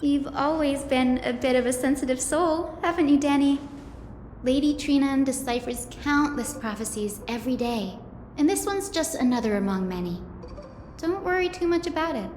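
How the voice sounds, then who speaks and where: A woman's voice: high voice, normal speed, darkish tone, a little hiss or hum, close to an everyday voice. A woman playing a kind, optimistic young priestess in a video game, speaking earnestly. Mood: bright, reassuring. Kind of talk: scripted video game voice acting. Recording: echoey game audio with music behind.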